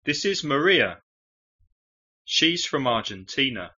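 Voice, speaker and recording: A man from England with a London accent, teaching English with slow, deliberate phrases for learners to repeat. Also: medium pitch, big pitch swings, sharp tone. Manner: clear, deliberate model phrasing for repetition. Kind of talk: slow language-lesson drills. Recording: dull lo-fi audio.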